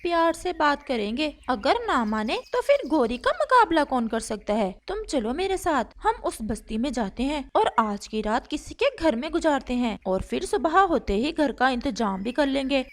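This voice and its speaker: A high-pitched, pushy female crow acted by a woman from a cartoon fable. Her delivery swings widely as she wheedles and sweet-talks, like a schemer managing her husband. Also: very animated, moderate pace, few pauses. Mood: bold, coaxing. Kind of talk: Hindi cartoon storybook dialogue.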